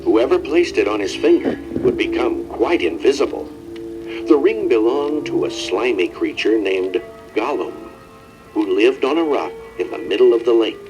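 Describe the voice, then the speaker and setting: A male storybook narrator reads in a dramatic, scripted style. His mid-pitched voice rises and falls with lots of animation, and faint music sits underneath. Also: darkish tone, low-quality recording. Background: faint background music.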